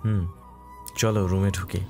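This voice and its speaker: A man playing a soft-spoken, caring and wistful widowed husband in a romantic audio drama. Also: deep voice, flat delivery, measured pace, faint music behind. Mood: relaxed, kind. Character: gentle, wistful widowed husband, well-off businessman.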